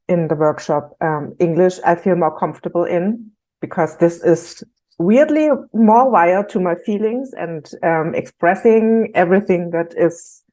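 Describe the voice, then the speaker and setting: Woman, low voice, slow pace, hesitant, casual conversation. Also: darkish tone. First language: German. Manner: thoughtful, hesitant with many ums. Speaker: workshop team member. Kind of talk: casual video-call conversation.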